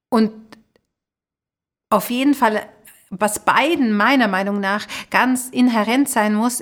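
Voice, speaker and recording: A middle-aged woman hosting a podcast, chatting conversationally with her guest. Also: on the high side. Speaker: podcast host, interviewer. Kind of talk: conversational interview.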